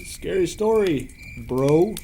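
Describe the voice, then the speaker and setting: Actor as high-voiced skeptical young male counselor. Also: expressive, slow pace, mellow, a little hiss or hum, exaggerated voice. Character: laid-back young male camp counselor, dryly skeptical. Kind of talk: audio drama dialogue.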